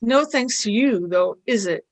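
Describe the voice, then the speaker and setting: A woman's voice: fairly high pitch, lively intonation, a little slow. A woman voicing an eager, earnest young girl companion in a radio play.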